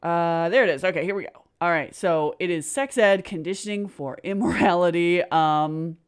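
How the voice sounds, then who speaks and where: Casting: woman streamer, slightly low voice, chatty and wry, reacting casually from a small room at home. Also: moderate pace, smooth. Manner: informal, joking, sardonic. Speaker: host of a live reaction show. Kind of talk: casual livestream chat and reaction commentary. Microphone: close desk microphone on a boom arm, with headset.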